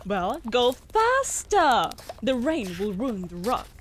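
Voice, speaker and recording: A woman playing a sharp-tongued, bossy wolf familiar in a fantasy audio roleplay, speaking in a haughty, sardonic, formal way. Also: a little high, wildly swooping pitch, takes it slowly, a little hiss or hum, full character voice. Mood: smug, impatient.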